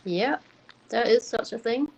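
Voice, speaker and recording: A woman's voice: on the high side, expressive, unhurried, gravelly, a little mellow. A woman from Denmark, chatting casually as a livestream guest. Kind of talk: casual chat. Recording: noisy live stream.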